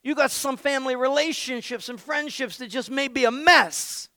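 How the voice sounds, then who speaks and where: A man preaching with a high, bright, ringing voice that swings from playful joking to shouted exhortation. He plays to his congregation with an energetic, punchy delivery. The sound is close and dry. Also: moderate pace, no echo. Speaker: pastor. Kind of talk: energetic sermon.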